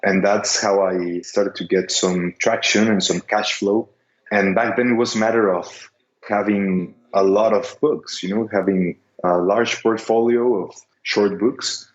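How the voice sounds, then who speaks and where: Low-voiced Mexican man, echoey lo-fi. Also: steady tone. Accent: Mexican Spanish. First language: Spanish. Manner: careful, halting non-native English. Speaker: guest, business seller. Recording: echoey, dull lo-fi line.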